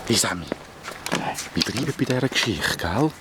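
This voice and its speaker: An actor playing an excitable, touchy schoolboy in a children's audio drama, speaking emphatic, slangy Swiss German. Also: male voice, fairly low pitch, normal speed, very noisy. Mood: confiding. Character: excitable, bossy schoolboy, touchy big-mouth.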